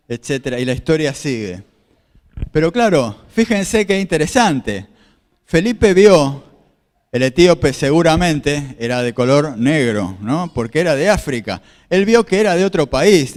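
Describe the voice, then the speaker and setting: Pastor preaching in a reverberant hall. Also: male voice, a little high, a little mellow. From Argentina. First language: Spanish. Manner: explanatory, repeats phrases, asks the audience questions. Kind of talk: sermon with slides.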